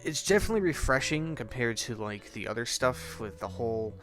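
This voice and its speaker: A man's voice: medium pitch, expressive, fairly quick, over music. A young man giving a casual, sarcastic recap commentary in an offhand, sweary way. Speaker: host, video reviewer. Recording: clearly noisy home recording.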